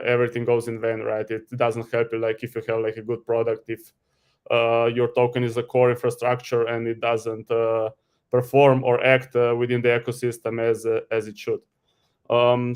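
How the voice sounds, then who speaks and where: A man's voice: medium pitch, a little mellow, clear, resonant tone. A man from Slovenia with a Slovenian accent, a guest explaining his professional background informally on an online call. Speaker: guest, crypto treasury specialist. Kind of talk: informal professional self-introduction.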